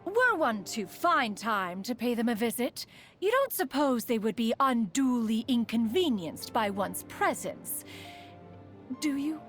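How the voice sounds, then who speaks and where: A woman's voice: a little high, very animated, unhurried, soft background music. A woman playing a proud, aloof immortal adept who secretly cares, speaking in formal, archaic phrases as a video game character. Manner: haughty, archaic formal speech, refers to self as "one".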